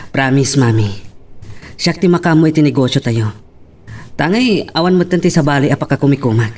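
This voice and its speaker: A man's voice: medium pitch, animated, normal speed, a little mellow, lightly acted. A man playing a reassuring, earnest grown son in a radio drama, with an Ilocano Filipino accent. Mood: reassuring, resigned. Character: reassuring, earnest adult son running family business.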